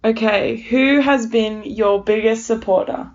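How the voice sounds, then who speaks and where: A woman's voice: on the high side, steady tone, measured pace. A young woman interviewing in a casual, agreeable and informal way. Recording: slightly dull, muffled sound.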